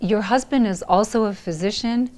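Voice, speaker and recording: Direct woman interviewer, mid-pitched. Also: a little mellow, a little hiss or hum. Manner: direct, brisk questioning. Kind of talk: interview questions.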